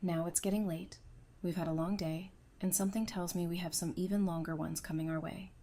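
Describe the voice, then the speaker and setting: A woman's voice: on the low side, fairly even intonation, brisk, warm tone, lightly acted. A woman in an audio drama playing a composed, perceptive psychiatrist turned survivor, earnest and self-assured. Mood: calm, firm.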